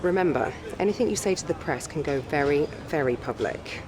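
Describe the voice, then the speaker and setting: A woman's voice: deep voice, expressive, brisk, crowd noise. An actress playing a composed female press officer who coaches a racing driver in a video game cutscene. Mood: composed, cautioning.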